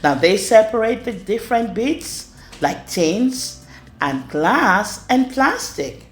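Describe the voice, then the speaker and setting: A woman reading a rhyming children's storybook aloud in an expressive way. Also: mid-range voice, slow pace. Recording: roomy echo with music underneath. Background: music.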